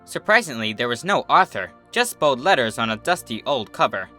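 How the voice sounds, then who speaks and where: A man reading fan fiction as a narrator, in an expressive scripted storybook style. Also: mid-range voice, brisk, soft background music.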